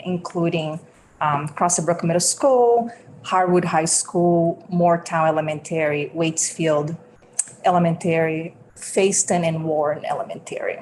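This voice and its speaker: A woman's voice: lowish voice, a little mellow, slight background noise, low-quality recording. A woman from Brazil with a Brazilian accent, testifying earnestly as a school food service director at a committee hearing, with many ums and restarts.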